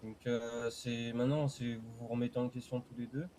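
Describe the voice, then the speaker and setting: Male game moderator, soft dark tone, hesitant and repetitive, slight background noise. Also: mid-range voice, flat delivery, normal speed. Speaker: roleplay server admin mediating a dispute. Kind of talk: casual mediation in game voice chat.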